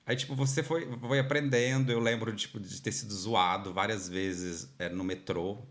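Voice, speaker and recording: A man's voice: fairly low pitch, moderate pace. A man from Minas Gerais with a Brazilian Portuguese accent, a podcast guest chatting casually and animatedly, full of fillers. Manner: animated storyteller, many fillers like "tipo" and "sabe". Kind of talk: casual interview chat.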